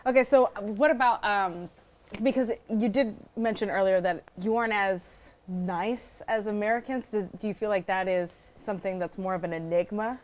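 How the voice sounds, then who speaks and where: A woman's voice: mid-range voice, normal speed, a little mellow. A woman hosting a panel talk show in an upbeat, teasing way, introducing guests and setting up segments.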